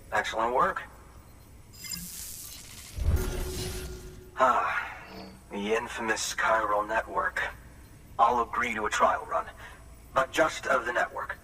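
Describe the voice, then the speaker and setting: A man's voice: lowish voice, bright, forceful tone, full character voice. A man acting as an embittered, distrustful junk dealer in a video game, speaking in a curt, wary way. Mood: wary, pleased. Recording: distant from mic, clear background noise.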